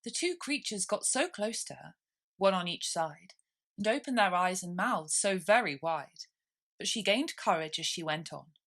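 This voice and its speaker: A woman reading a classic children's story aloud as expressive scripted narration. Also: on the low side, normal speed. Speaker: storybook reader.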